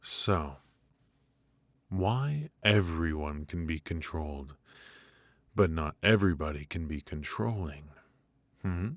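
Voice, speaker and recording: A man playing a menacing, teasing mafia boss in an intimate ASMR roleplay, speaking with deliberate pauses and mock politeness. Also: a bass voice, expressive, no room sound, heavily acted. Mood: probing, unhurried. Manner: slow, deliberate, mockingly polite with drawn-out pauses.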